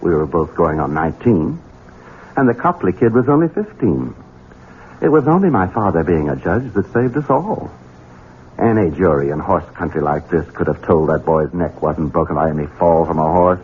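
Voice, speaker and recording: A tinny, hissy old radio recording carries a low-pitched, swooping voice: a sinister grown son and heir. He is scheming, coldly cruel and theatrically taunting in classic radio-play style. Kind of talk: 1970s radio drama acting. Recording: tinny, band-limited 1970s radio with hiss.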